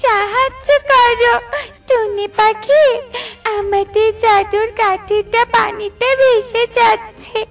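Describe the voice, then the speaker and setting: Woman playing a very high little fairy girl. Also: steady tone, takes it slowly, vocal fry, music in the background, heavily acted. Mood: worried. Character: little fairy girl, polite, helpful, easily worried. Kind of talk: children's cartoon voice acting.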